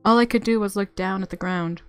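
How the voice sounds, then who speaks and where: Woman streamer reading a visual novel out loud, expressive, mid pitched voice, game music playing. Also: a little fast, clear, resonant tone, dry recording. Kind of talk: narrating a visual novel with spontaneous reactions. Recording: music under the voice.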